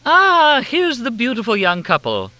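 An effusive, fluttery society hostess, voiced by a male narrator, pitched high and swooping. She sounds bright and lively. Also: unhurried, dry recording, heavily acted. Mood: gushing. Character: gushing society hostess, middle-aged woman.

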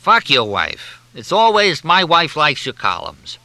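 A man's voice: on the high side, wildly swooping pitch, a little slow, pushed and bright, no echo, voice pushed up, heavily acted. A man voicing a cantankerous male humor columnist who badgers in crude, repetitive rhetorical questions, as if through a chewed cigar. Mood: exasperated ranting.

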